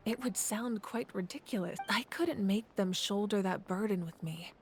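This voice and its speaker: A woman playing a calm, resolute war leader and ruler in game dialogue, speaking in a measured, earnest way. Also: mid-range voice, a little hiss or hum, natural voice. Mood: reflective, composed. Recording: background music.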